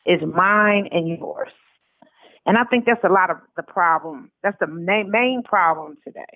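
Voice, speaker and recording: A woman's voice: lowish voice, a little slow, no echo. Woman on a tinny phone line, emphatic. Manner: emphatic, repeats points, acts out quoted remarks. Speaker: interview guest, author. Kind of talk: casual conversational interview answers. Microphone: telephone call.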